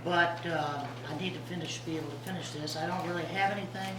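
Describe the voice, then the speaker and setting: High-voiced man chairing a meeting, echoey. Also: fairly even intonation. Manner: halting, with many fillers. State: voice weak after recent vocal cord surgery. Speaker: mayor chairing a city council meeting. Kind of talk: presiding over a public meeting. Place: council chamber. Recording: echoey room, distant mic, background noise.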